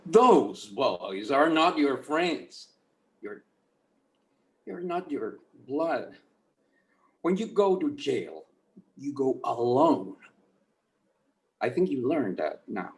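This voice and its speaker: A world-weary old Mexican grandfather in a drama table read, played by a man. He talks slowly and sternly, admonishing in a mid-pitched voice. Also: big pitch swings, exaggerated voice. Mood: stern, forgiving. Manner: measured, admonishing.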